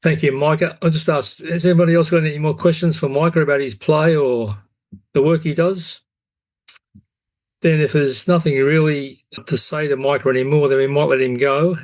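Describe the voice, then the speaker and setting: A man's voice: fairly high pitch, steady tone, normal speed, a little mellow, low-quality recording. A man hosting an online meeting, moderating casually in a polite, understated way. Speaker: meeting host. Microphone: video call.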